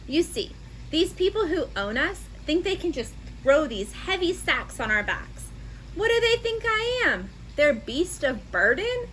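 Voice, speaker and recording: A stubborn young storybook donkey, as a woman reader puts it on, grumbling with theatrical indignation in a fairly high voice. The delivery is unhurried, and there is faint noise behind it. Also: very animated, fairly bright tone, heavily acted, rough recording. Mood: indignant. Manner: indignant, exaggerated storybook complaining. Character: stubborn, huffy young donkey telling his side. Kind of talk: children's storybook read-aloud.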